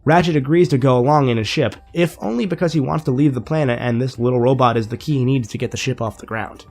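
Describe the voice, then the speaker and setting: A young man narrates a scripted game review at a mid pitch. His voice sounds a little nasal and congested as he gets over a sinus infection. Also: fast talker, gravelly, a little mellow.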